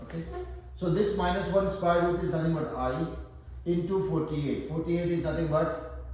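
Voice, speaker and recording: Man, Indian accent, math tutor, fairly high voice, very echoey room. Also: moderate pace, a little mellow. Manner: explains step by step, thinks aloud while working. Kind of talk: whiteboard math lesson.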